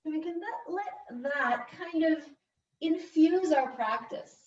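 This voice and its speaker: Female yoga teacher, soothing and gently instructive, recorded from a distance with slightly muffled sound. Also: sits high, takes it slowly, reverberant.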